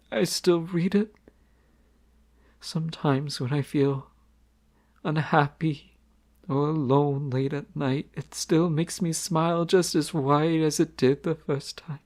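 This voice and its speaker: A man playing a shy, gravelly young prince. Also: high voice. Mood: joyful. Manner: soft, hesitant, halting. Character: shy, sensitive young male crown prince. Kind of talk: romantic audio roleplay.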